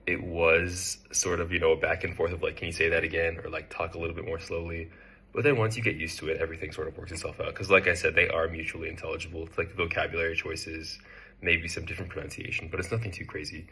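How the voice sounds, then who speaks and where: A young American man in his twenties, speaking about his internship in a relaxed, hesitant way with frequent fillers. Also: low-pitched, breathy edge. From United States. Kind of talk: casual testimonial.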